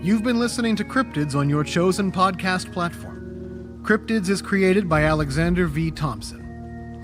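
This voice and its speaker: A man reading scripted closing credits as a podcast announcer. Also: fairly high pitch.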